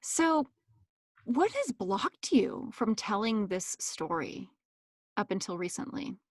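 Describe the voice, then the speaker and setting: A woman's voice: mid-range voice, very animated, slow pace, breathy edge, no echo, clean studio sound. A woman interviewing her guest, asking questions in an attentive, encouraging way. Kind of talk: interview questions and listening cues.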